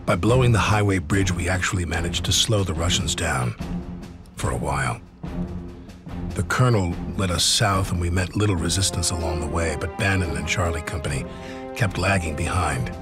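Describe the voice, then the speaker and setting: An actor playing a sober American army officer, giving measured, grave narration. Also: male voice, deep voice, lightly acted. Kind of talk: scripted narration. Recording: music behind.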